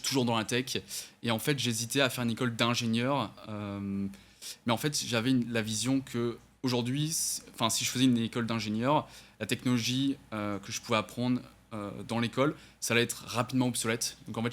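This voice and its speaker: A man's voice: medium pitch, fairly bright tone. A man in his twenties, raised in the United States and then France, answering interview questions as an entrepreneur, hesitant and full of fillers. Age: 20s. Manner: hesitant, many fillers and restarts, self-corrects. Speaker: entrepreneur guest.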